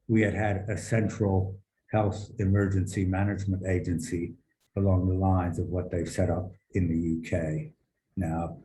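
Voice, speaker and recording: A man giving formal policy remarks as a panel speaker, measured and deliberate with long halting pauses and erudite phrasing. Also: sits low, mellow. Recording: roomy echo with some background noise.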